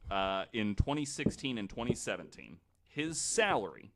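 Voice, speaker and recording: A man presenting a researched story on a casual podcast, speaking in a halting way as he explains from his notes. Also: lowish voice, very animated, very noisy.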